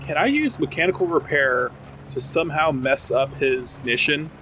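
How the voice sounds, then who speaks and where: A man talking casually as a tabletop game player, stating his moves in an informal, deliberate way. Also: fairly high pitch, sharp tone, a little hiss or hum, low-quality recording. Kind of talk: casual game play talk.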